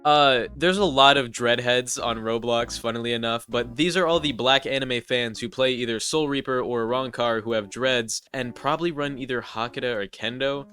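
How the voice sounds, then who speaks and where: A man's voice: medium pitch, fairly even intonation, normal speed, hardly any pauses, sharp tone, clear, resonant tone. A man with a congested, stuffy voice doing a scripted, sarcastic voice-over roast as a gaming YouTuber. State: congested, nasal from allergies.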